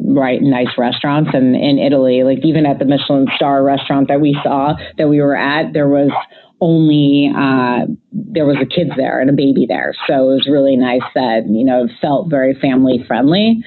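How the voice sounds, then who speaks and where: A woman from the United States with a self-described loud voice, casually and chattily telling stories about a family trip as an interview guest. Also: rumbling low, steady tone, moderate pace, a little hiss or hum. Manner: chatty, with frequent fillers and restarts. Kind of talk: casual conversational storytelling. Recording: tinny phone-line audio.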